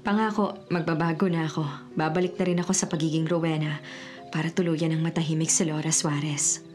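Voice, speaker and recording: A woman plays a reformed female swindler in a Filipino radio drama, earnest about starting over. Her voice is fairly low, with music playing strongly underneath. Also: steady tone, a little fast, darkish tone, lightly acted. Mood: earnest, relieved. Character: repentant female con artist starting over honestly.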